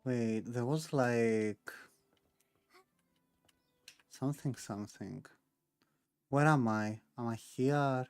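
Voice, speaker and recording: A woman's voice: a bass voice, takes it slowly, long pauses, darkish tone, smooth. A woman doing casual gameplay commentary, thinking aloud as she plays. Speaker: game streamer.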